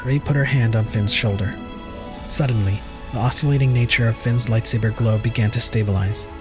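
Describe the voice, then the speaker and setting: A man's voice: medium pitch, steady tone, brisk. Man narrating a dramatic story over music. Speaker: narrator of a fan audio drama. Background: prominent music.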